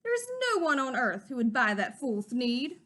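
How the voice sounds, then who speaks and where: A small, indignant forest creature scolds in a high, bright voice that rises and falls with feeling, as a woman reading a storybook aloud puts him on. He lectures and preaches, sure he is right. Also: very animated, normal speed, exaggerated voice. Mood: scolding.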